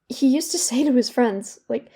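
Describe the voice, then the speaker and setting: An actress playing a young woman in therapy in an audio drama, bitter and regretful, confiding in a halting, sardonic, conversational way. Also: on the high side, mellow, slightly rough. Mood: bitter, reflective. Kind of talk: naturalistic audio drama monologue.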